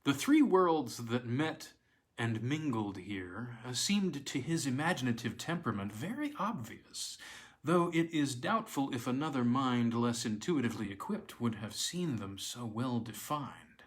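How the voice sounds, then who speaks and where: A man reading a classic weird tale aloud in a measured, expressive storytelling manner. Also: mid-range voice. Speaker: reader of classic weird tales.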